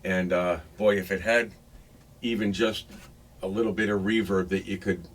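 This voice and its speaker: A man's voice: deep voice, fairly even intonation, moderate pace. A man casually reviewing music gear, thinking aloud in a hesitant, unscripted way. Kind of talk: casual unboxing and gear demo. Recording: clearly noisy room recording.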